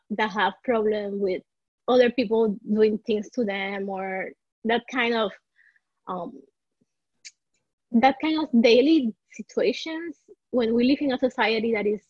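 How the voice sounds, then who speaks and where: A woman's voice: medium pitch, no room sound, muffled recording. A woman from Rico, a coach being interviewed, searching for words and restarting her sentences as she talks. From Puerto Rico. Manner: searching for words, frequent restarts, soft laughs. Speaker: life coach, podcast guest. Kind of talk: conversational interview.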